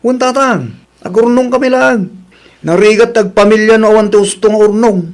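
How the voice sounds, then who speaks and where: A man's voice: high-pitched, moderate pace. An actor playing an earnest, responsible young working man in a radio drama, speaking respectfully.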